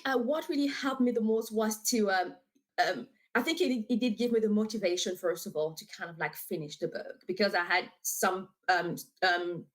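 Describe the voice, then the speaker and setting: A woman from DR Congo whose first language is French, talking about her writing as a podcast guest. She speaks in an animated, talkative way over a video call. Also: medium pitch. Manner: animated and talkative, with frequent fillers and laughs. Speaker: author and guest. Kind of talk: casual interview.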